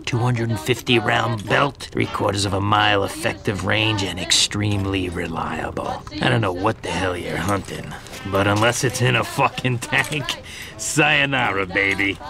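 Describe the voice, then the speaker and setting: An actor playing a coarse, chatty middle-aged American war veteran who sells guns in a video game. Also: male voice, lowish voice, moderate pace, gravelly, music in the background. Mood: proud. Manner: excitable, coarse, salesman-like. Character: gruff, chatty one-eyed war veteran gun dealer. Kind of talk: video game cutscene acting.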